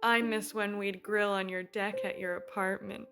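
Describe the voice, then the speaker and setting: A woman playing a warm, candid, resilient survivor in a radio play. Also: medium pitch, little pitch movement, normal speed, music in the background, close to an everyday voice. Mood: wistful.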